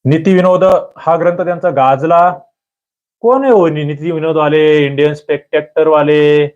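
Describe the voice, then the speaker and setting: A man's voice: a little high, a little mellow, dull audio. A man with a Marathi accent giving an online exam-prep quiz lecture, emphatic and repetitive, often putting questions to his listeners.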